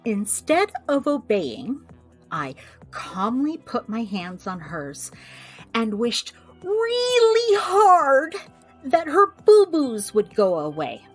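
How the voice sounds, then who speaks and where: Wry young American witch played by a woman, lively-voiced. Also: on the high side, slow pace, soft background music, full character voice. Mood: reflective, wry. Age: young adult. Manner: wry, confiding. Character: young witch healer, wry, candid, searching for family. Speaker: lead actor and narrator. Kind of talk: scripted first-person audio drama narration, conversational.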